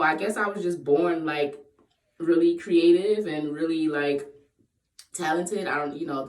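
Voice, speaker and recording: Playful woman vlogger, echoey and distant. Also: deep voice. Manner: playful, laughs often, breaks into sung bits. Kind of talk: casual Q&A vlog. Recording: echoey room, voice far from the mic.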